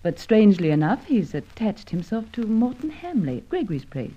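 An actress playing an earnest, matter-of-fact farmer's daughter in an old radio drama, speaking in a measured, articulate way. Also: female voice, medium pitch, sing-song, husky. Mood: sympathetic, explanatory. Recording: muffled old radio recording.

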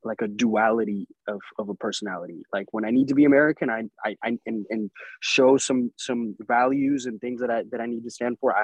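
A man's voice: medium pitch, slightly rough, no room sound, highs cut off. A young man from Colombia hosting a podcast, asking long, rambling questions that often stumble. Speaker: podcast host. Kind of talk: casual interview conversation.

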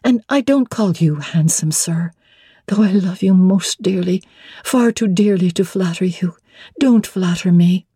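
A woman's voice: on the low side, measured pace, mellow, breathy edge, no echo, clean studio sound. A woman playing an earnest, proud young bride-to-be in a classic novel reading, speaking formally and candidly. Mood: pleading, protesting.

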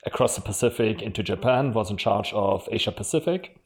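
A man's voice: fairly low pitch, fairly quick, vocal fry. German-accented man telling career stories. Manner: frank, storytelling, laughs often. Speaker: podcast guest, marketing executive. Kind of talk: casual interview chat.

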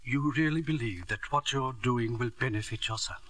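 An actor playing a proud, principled headmaster in a 1940s radio drama, speaking in a formal, stiff manner. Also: male voice, mid-range voice, moderate pace, a bit bright, dry recording, natural voice. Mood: stiff, pleading. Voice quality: formal and stiff, growing distraught. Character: proud, principled school headmaster, middle-aged man. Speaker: headmaster character.

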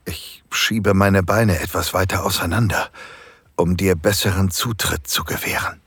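Casting: male actor as submissive, aroused husband in erotic audio drama; very husky, breathy, slow and hushed delivery. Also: low voice, fairly even intonation, dry recording, full character voice. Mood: tense anticipation. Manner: slow, hushed, halting with moans. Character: submissive married man, construction foreman, eager, aroused, pleading. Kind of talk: intimate first-person erotic audio drama narration.

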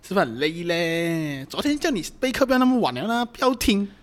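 A man's voice: sits high, sing-song, quick pace. A man with a Malaysian accent acting a playful, doting boyfriend in an amateur audio drama, in teasing, colloquial speech. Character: playful, doting boyfriend who loves cooking.

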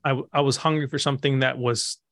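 A man in his thirties from Egypt, a pastor and author, answering interview questions in a thoughtful way, pausing and restarting as he thinks. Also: medium pitch, fairly even intonation, a bit bright, no echo. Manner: reflective, thinks aloud with fillers and restarts.

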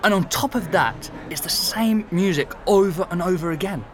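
Casting: actor for talkative, exasperated male flatmate, lightish high register, animated anecdote delivery, audio drama with music. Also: normal speed, a little hiss or hum, lightly acted. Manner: animated storytelling, mimicking and exclaiming. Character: exasperated, chatty former flatmate being interviewed. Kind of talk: conversational audio drama acting.

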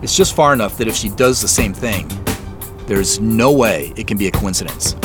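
A man's voice: lowish voice, expressive, normal speed, music in the background, natural voice. A man acting in an audio drama as a wary, concerned character directing a tail by phone, talking in a natural, reactive way. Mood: wary, focused.

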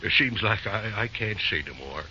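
An actor playing a kindly, proud old railroad boss with a halting rustic drawl in an old-time radio drama. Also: male voice, lowish voice, animated, bright, forceful tone. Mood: choked up. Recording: dull, hissy old radio recording.

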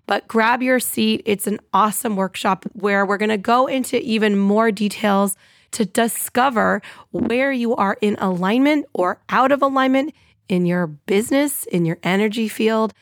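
A woman hosting her own podcast in an upbeat, chatty monologue, her voice a little scratchy and hoarse. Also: medium pitch, normal speed, no room sound, clean studio sound. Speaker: podcast host.

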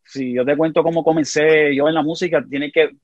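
A man with a Puerto Rican accent, a podcast guest telling animated stories in a casual conversation. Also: on the high side, fairly quick, no room sound, muffled recording. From Puerto Rico. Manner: animated storyteller, frequent restarts.

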